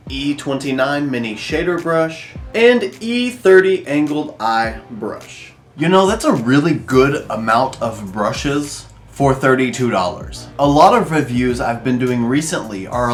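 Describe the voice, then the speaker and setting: A man with a congested, nasal voice from a cold, chatting through a makeup product review and listing items slowly and carefully. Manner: chatty and expressive, lively jumps in pitch. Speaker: beauty vlogger reviewing makeup. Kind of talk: upbeat product review vlog.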